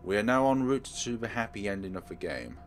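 A man's voice: lowish voice, lively intonation, fairly quick, soft background music. A man hosting a let's play, giving instructional, step-by-step game walkthrough commentary.

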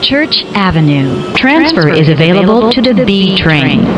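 A woman giving recorded train announcements in a measured, formal way. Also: fairly low pitch, very animated. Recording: dull, noisy recorded transit announcement.

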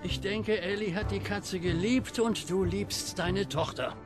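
A man acting a grave, insistent older neighbour in a German radio drama, slow and urgent. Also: sits high, steady tone. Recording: loud music and effects behind.

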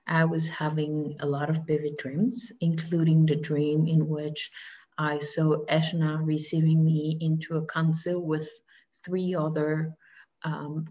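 A young woman from Costa Rica gently introducing herself and welcoming people on a video call. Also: low voice. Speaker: ceremony host. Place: indoor room. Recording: muffled video call audio.

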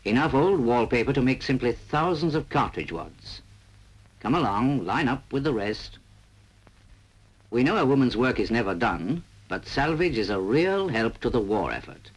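A British man narrates a 1940s public information film. His husky voice moves up and down in a lively way, chatty and wry as he urges the listener on. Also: mid-range voice, normal speed, low-quality recording.

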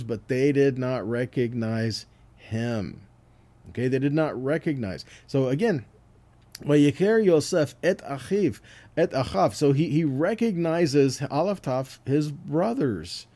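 Mellow male Bible teacher with swooping pitch. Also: expressive, a little slow. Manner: explanatory, reads verses aloud and checks in with "okay" and "right". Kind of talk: teaching sermon on scripture.

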